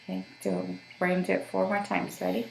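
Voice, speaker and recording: A woman's voice: on the low side, monotone, fast talker, creaky, echoey room. A woman working as a voice therapist, giving patient step-by-step instructions for voice exercises and checking in often. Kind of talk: guided voice therapy session.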